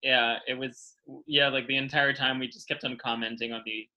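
Bright-voiced, chatty American man. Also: medium pitch, moderate pace, low-quality recording. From New York City, United States. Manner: animated storytelling with frequent swearing and self-mocking asides. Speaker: podcast guest, journalist. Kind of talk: casual chat, personal anecdotes.

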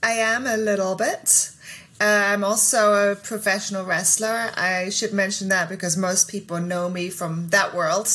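A woman's voice: medium pitch, steady tone, moderate pace, sharp tone. A woman who grew up in Germany, chatting as an interview guest in a friendly way with small laughs. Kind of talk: casual interview chat.

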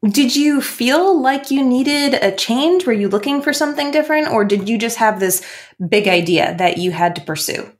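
A woman's voice: fairly high pitch, lively intonation, moderate pace. A middle-aged woman hosting a podcast interview, enthusiastic and effusive about her guest. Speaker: podcast host. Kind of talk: interview conversation.

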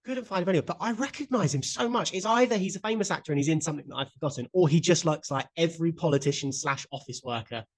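A man's voice: on the high side, fast, hardly any pauses. A man co-hosting a podcast, chatting casually with strong opinions and the odd swear word. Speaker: podcast co-host. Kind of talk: casual chat.

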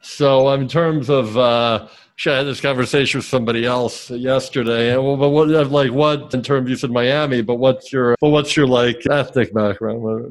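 A male podcast host with a smooth, resonant voice, recorded close and dry with no room sound. He talks casually and haltingly, stopping and restarting his sentences as he feels his way into each question. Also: mid-range voice, fairly even intonation, dry recording. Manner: halting, many restarts and fillers. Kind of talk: casual interview.

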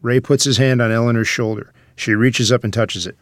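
A man's voice: medium pitch, steady tone, a little fast, dry recording. Male narrator, dry close sound. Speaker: narrator reading stage directions. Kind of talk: scripted screenplay narration.

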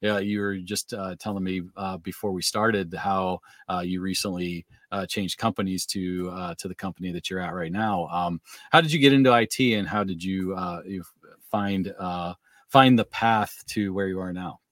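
A man's voice: sits low, fairly even intonation, moderate pace, fairly bright tone, dry recording, studio quality. A man hosting a podcast, asking long questions in a hesitant, roundabout way. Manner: hesitant, many fillers and restarts. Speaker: podcast host. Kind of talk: interview questions.

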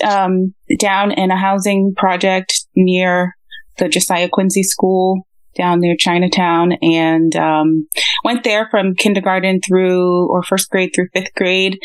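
A woman from Boston, a podcast guest, answering in a relaxed way with frequent laughter. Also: lowish voice. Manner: easygoing, laughs often, short replies. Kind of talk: casual interview conversation.

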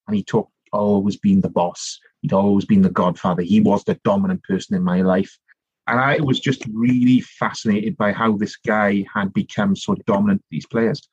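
A middle-aged man from South Wales with a Welsh accent, telling long animated stories as a podcast guest, full of restarts and asides. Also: lowish voice, moderate pace, breathy edge, no room sound, muffled recording. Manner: animated storytelling, rambling with many restarts and "you know". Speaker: guest, author and politician. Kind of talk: conversational podcast interview.

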